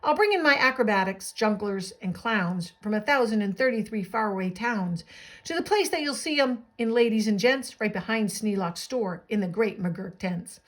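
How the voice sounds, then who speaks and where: A woman reading a storybook as an eager, boastful young boy playing circus showman, proclaiming excitedly in rhyme. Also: medium pitch, moderate pace, natural voice, rough recording. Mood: grand, excited.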